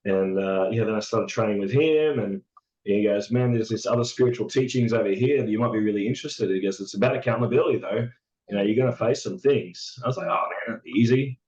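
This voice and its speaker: Raspy hoarse guy chatting, lowish voice, kinda muffled lo-fi sound. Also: darkish tone. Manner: halting, many fillers and restarts. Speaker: podcast guest. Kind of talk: casual reflective chat.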